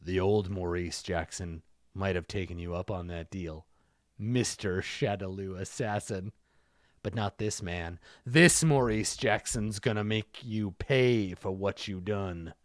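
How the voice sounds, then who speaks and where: A man voicing a grim, vengeful male martial artist, speaking low and quietly. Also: a little slow, dry recording, studio quality.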